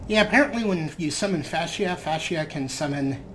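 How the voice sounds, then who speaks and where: A man's voice: a little high, brisk, sharp tone. A man giving casual, sarcastic gameplay commentary as a let's play host. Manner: wry, sarcastic. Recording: noisy, game ambience behind voice.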